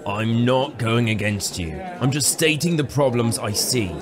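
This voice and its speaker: An actor playing a diplomatic, level-headed soldier in a fantasy audio drama, reasoning in a measured way. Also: male voice, medium pitch, animated, lightly acted. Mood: calm, reasoning. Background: background music.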